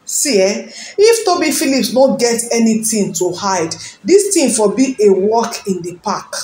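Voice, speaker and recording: A woman with a Nigerian Pidgin accent runs a celebrity gossip commentary, dramatic and full of rhetorical questions to her viewers. Her voice sits fairly high, and it rings in an echoey room. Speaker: gossip channel host.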